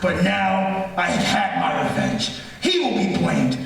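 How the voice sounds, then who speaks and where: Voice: medium pitch, wildly swooping pitch, measured pace, gravelly, fairly bright tone, full character voice. A stage performer playing a scheming, vengeful murderer in an old-time radio drama, theatrical and gloating, in an echoey theater. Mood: frenzied, vengeful. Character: scheming vengeful murderer, gleefully sadistic villain with poor eyesight. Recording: echoey theater, distant mic.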